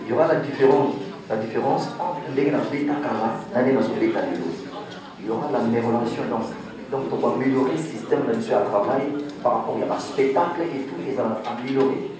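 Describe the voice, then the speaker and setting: A man presenting at a press conference and handing over the floor, speaking in halting, fragmented phrases. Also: mid-range voice, crowd noise. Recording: echoey hall from far off.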